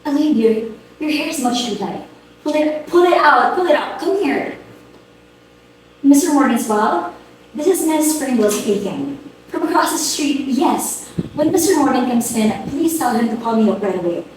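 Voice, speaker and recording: A woman's voice: sits high, expressive, moderate pace. A woman playing a fussy, flustered, status-hungry middle-aged society hostess in an amateur play reading, theatrical and bossy. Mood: bossy, hurried. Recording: very echoey room, distant mic, hiss.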